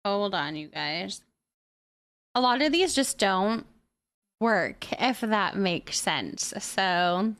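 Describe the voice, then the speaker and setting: A woman's voice: medium pitch, animated, very slow, fairly bright tone, clear, resonant tone. A woman hosting a casual live stream, reading out and answering viewer messages in an affectionate, reassuring way. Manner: affectionate, addresses listeners with endearments.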